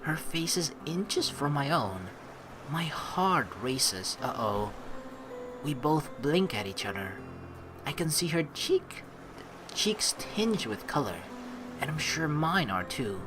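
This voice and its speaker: A woman's voice: low-pitched, sing-song, takes it slowly. A woman hosting a gaming video, reading game narration aloud and reacting in a chatty, theatrical way. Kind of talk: let's play commentary and read-aloud game narration. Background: faint game music.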